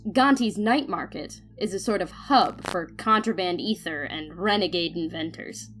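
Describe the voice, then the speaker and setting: A woman voicing a kindly older female renegade guide in an audio drama, measured and knowing. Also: lowish voice, fairly bright tone, soft background music, natural voice. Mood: knowing, steady.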